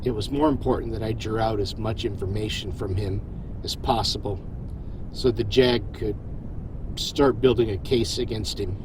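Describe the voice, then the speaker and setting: A man's voice: lowish voice, steady tone, normal speed, background noise, natural voice. A man playing an earnest, reflective starship captain in a science fiction audio drama. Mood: reflective, sincere.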